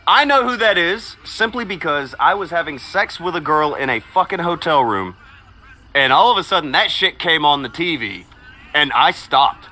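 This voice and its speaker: A male stream host with a lively, swooping delivery and a bright, forceful tone. He teases his co-host, reads out viewer comments and drifts into crude, profane anecdotes in loose casual chat. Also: fairly high pitch, normal speed, a little hiss or hum. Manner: crude, teasing, profane storytelling.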